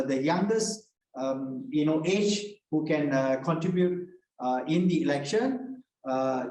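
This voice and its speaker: Male panel speaker, slightly high voice, hesitant, echoey room, off mic. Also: a little mellow. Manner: hesitant, many fillers. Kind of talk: conversational panel answer. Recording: echoey, distant video call audio.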